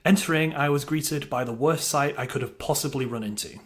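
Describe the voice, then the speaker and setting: A man's voice: a little high, a little fast, fairly bright tone. A man delivering scripted narration for a video game review, with a wry, dryly humorous manner.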